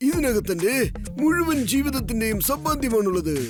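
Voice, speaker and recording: An actor playing a timid, fussy older mayor in a cartoon dub, stammering and squealing with fright. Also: male voice, extremely high pitch, lively intonation, moderate pace. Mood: explaining. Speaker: dubbing actor.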